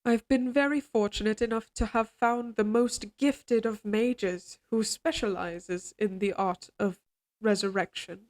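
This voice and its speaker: A woman playing a regal, possessive queen in an audio roleplay drama, speaking in formal, measured courtly phrases, tender yet controlling. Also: fairly high pitch, fairly even intonation, natural voice. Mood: solemn, proud.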